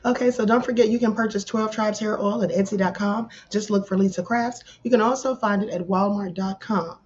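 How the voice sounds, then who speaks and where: A woman hosts a casual live stream, her voice a little hoarse. She reels off viewers' names in a relaxed, chatty way. The audio is dull and slightly lo-fi. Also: mid-range voice, brisk, a little hiss or hum. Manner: greets chat viewers by name. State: hoarse, sore throat. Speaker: livestream host. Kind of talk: casual livestream chat.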